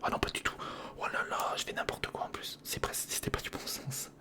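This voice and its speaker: A person whispering informal ASMR commentary in short step-by-step remarks while building, speaking directly to the viewer. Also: brisk. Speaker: ASMR video creator and host.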